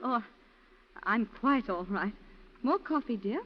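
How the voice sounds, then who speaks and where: Genteel colonial wife, expressive voice. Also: female voice, a little high, slow pace, noisy background. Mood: composed, gracious. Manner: genteel, controlled, dramatic. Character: composed, genteel colonial planter's wife accused of murder. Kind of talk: 1940s radio acting. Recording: muffled 1940s radio recording.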